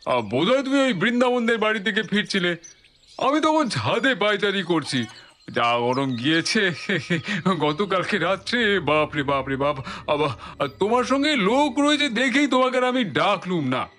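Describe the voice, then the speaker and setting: A man's voice: high voice, sing-song, moderate pace, a bit bright. An actor playing an old, chatty village uncle in an audio story, exclaiming, repeating himself and stammering over his words. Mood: genial, chatty. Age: older. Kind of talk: Bengali audio story acting. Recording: music behind the voice.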